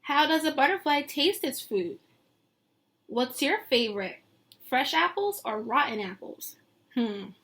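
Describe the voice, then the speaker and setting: A woman teacher reading a picture book aloud to young children, expressive and sing-song, pausing to put questions to them. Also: high voice, slightly rough. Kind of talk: read-aloud lesson with questions to young listeners.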